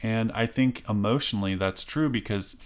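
A middle-aged man with a very deep voice co-hosts a parenting show in a casual, reflective way. He thinks aloud as he talks, halting often with fillers and restarting his sentences. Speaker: parenting podcast co-host. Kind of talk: casual conversation.

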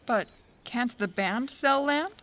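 A woman's voice: on the high side, lively intonation, slow pace, fairly bright tone. An actress playing a blunt, outspoken young farmer woman in an audio drama.

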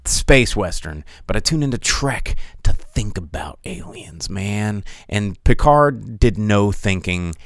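A man hosting a podcast in casual solo commentary, rambling and animated with sarcastic asides, on a close mic. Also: on the low side, takes it slowly, hardly any pauses, dry recording. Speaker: podcast host.

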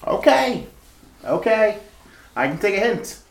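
A man's voice: sits high, sing-song, unhurried, creaky, full character voice. A man playing a cheeky know-it-all hotel bellboy in a retro radio comedy, officious and theatrical. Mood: huffy. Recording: roomy, echoing amateur radio-play recording.

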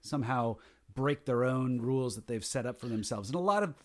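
A man co-hosting a podcast, talking things through thoughtfully in halting, restarting sentences. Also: mid-range voice, animated. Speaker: podcast co-host. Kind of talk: casual chat.

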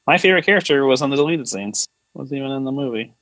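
A man with an average-pitched voice chats casually as a podcast co-host. He riffs playfully in short quips, and the sound is close and dry with little room in it. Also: animated, fast talker, fairly bright tone, no echo, low-quality recording. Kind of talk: casual joking chat.

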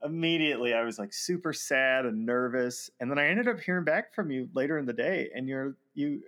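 A man, a voice actor guest in a casual conversation, self-deprecating and laughing often, with halting restarts. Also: mid-range voice, fairly bright tone.

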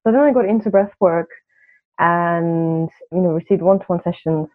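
A woman's voice: fairly low pitch, normal speed. A woman from Turkey, a coach speaking as a podcast guest in a reflective way, with many "you know" fillers. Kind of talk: podcast interview. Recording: tinny phone-line audio.

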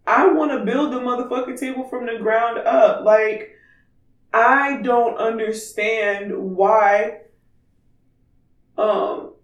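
A man with a raspy voice chatting candidly and emphatically as a podcast co-host. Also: very high-pitched, steady tone, slow pace, fairly bright tone, lo-fi sound. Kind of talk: casual opinionated podcast chat. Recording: distant mic in echoey room.

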